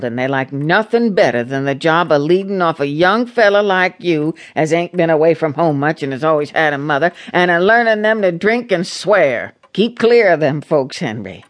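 Homespun, earnest rural American farm mother. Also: deep voice. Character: plain-spoken, devout, anxious farm mother of a young soldier. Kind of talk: radio drama acting.

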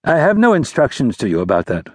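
A scheming, mocking ambassador prince of about forty, as a male narrator puts him on. His voice sits high and husky, swinging with lively, lofty scorn. The delivery is dry and close. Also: fast, no echo.

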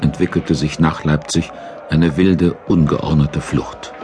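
A man's voice: a bass voice, steady tone, takes it slowly. A man giving dramatic, scripted audiobook narration as the storyteller of a historical radio play. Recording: narration over battle sound effects.

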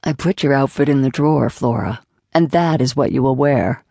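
A woman voicing Jason, a calm, commanding, patronizing man who speaks in a low, deadpan, measured way. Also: vocal fry, breathy edge, no echo, studio quality, exaggerated voice.

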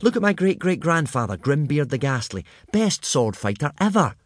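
A man's voice: a little high, very animated, normal speed, dry recording, studio quality, pitched above the performer's own voice. A man voicing an earnest, bookish young Viking boy. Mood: eager. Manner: earnest, eager.

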